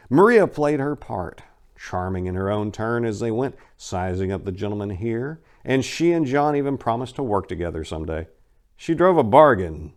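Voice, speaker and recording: A man narrating a crime short story as a dramatic reading, with theatrical, emphatic delivery. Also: mid-range voice.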